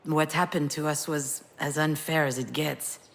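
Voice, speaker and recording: A low, husky female voice for a video game heroine. She is a grieving writer, vulnerable yet wry, who confides in a reflective, natural way. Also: fairly even intonation, moderate pace. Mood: tender, reflective.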